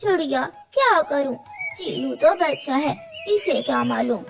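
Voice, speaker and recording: A woman's voice: high-pitched, animated, a little slow, gravelly, exaggerated voice. A woman voicing an emotional mother eagle in a children's cartoon. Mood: defensive, grateful. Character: mother eagle, emotional, defensive but grateful.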